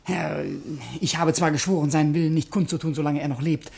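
An actor playing a sly, flattering and malicious servant in a theatrical radio comedy. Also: male voice, fairly high pitch, a little fast, vocal fry. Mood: confiding. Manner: insinuating, theatrical, slipping into stage whispers. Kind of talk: stage comedy radio drama acting.

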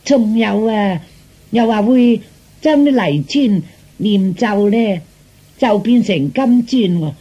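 A man's voice: sits high, lively intonation, takes it slowly, warm tone, slightly rough, dull audio, exaggerated voice. A man voicing a pert young daughter in a Cantonese comic radio play, tattling in a chirpy, emphatic way.

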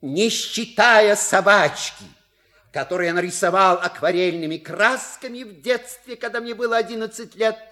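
An actor playing a suave, wry manservant with polite, measured, theatrical delivery in a stage comedy audio play. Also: male voice, high voice, sharp tone. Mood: solemn declaiming. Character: suave, wry manservant reading out a scandalous letter.

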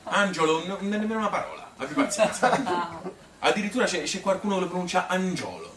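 A man's voice: high-pitched, big pitch swings, brisk, a crowd in the background, voice pushed up. A man playing a chatty, self-amused Tuscan woman who rambles through her courtship memories in a folksy theatrical monologue. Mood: amused, nostalgic. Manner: rambling, folksy, filler-laden. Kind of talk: theatrical monologue, colloquial storytelling. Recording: echoey room, distant mic, hissy noise.

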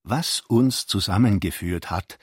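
Radio play: a pompous aristocratic Austrian count, low and husky, ceremonious orator, close dry studio. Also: male voice, a little slow, no room sound. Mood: solemn, loyal. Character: stately, pompous aristocratic Austrian count giving a patriotic address.

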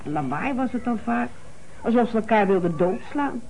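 Defensive chatty housekeeper, emphatic, faltering, radio drama, faintly noisy old recording. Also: female voice, a little high, expressive, moderate pace, a little mellow. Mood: flustered. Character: talkative, defensive housekeeper, fond of the suspect. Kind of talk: Dutch radio play dialogue.

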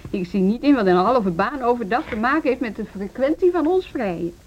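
A woman's voice: a little high, lively intonation, a little fast, a little hiss or hum, natural voice. A woman acting an independent, assertive music teacher and wife in a Dutch social radio drama. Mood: firm, indignant. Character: independent, spirited music teacher, wife standing her ground. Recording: dull, band-limited radio drama recording.

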